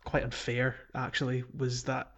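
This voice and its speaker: A man's voice: medium pitch, completely flat delivery, moderate pace, fairly bright tone. Man hosting a casual gaming podcast, mid-range. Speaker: podcast host. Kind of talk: casual discussion of video games.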